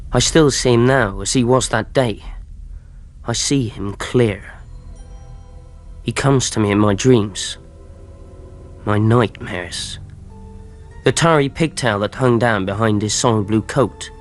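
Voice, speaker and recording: Low-voiced rustic storyteller. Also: male voice. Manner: reflective, plain-spoken storytelling. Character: rustic man narrator recalling his boyhood adventures. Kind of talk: in-character radio drama narration. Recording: soft music behind narration.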